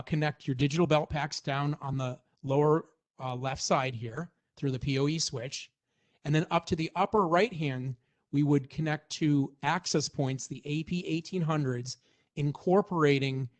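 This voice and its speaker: A man presenting a product walkthrough in an informal, explanatory way, as in a semi-scripted technical demonstration. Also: on the high side.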